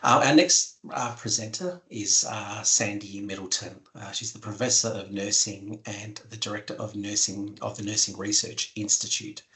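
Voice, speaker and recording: A man with a croaky voice from a cold, introducing speakers with many hesitations. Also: fairly low pitch, fairly even intonation, normal speed, few pauses, sharp tone, poor audio. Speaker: moderator. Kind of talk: webinar moderation.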